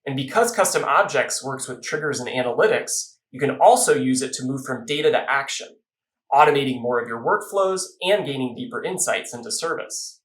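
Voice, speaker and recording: A man's voice: mid-range voice, animated, fairly quick, a bit bright, roomy sound, rough recording. A man giving a polished, explanatory corporate product presentation from a script.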